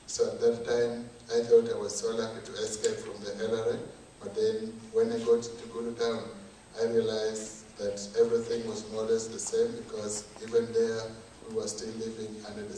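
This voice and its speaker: A young Ugandan man from northern Uganda giving a measured, earnest personal testimony as a conference speaker. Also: on the low side, monotone, warm tone, a little hiss or hum. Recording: echoey hall from far off.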